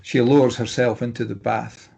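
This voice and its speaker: Man, stage directions reader, medium pitch, breathy husky tone, scripted narration. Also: flat delivery, moderate pace, a little mellow.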